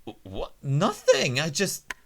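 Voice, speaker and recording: A man voicing a visual novel protagonist, an easygoing high school boy with a casual, wry manner. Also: huge pitch swings, slow pace, exaggerated voice. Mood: nervous, defensive.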